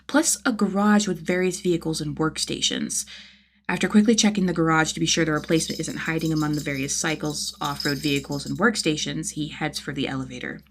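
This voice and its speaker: A woman reading a story aloud as an expressive scripted narration, with a slightly husky voice. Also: low voice, a little hiss or hum. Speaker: audiobook-style narrator of fan fiction.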